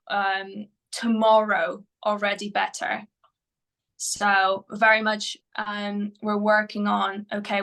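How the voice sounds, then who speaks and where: A woman whose first language is Polish, a mental performance coach answering interview questions in English, often pausing with fillers and restarting her sentences. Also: mid-range voice, sharp tone. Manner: hesitant, many fillers and restarts.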